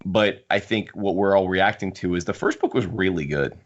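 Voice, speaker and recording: A man with a notably low voice hosts a relaxed book discussion among friends. He works through his points haltingly, often repeating words. The recording is a little dull and lo-fi. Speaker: podcast host.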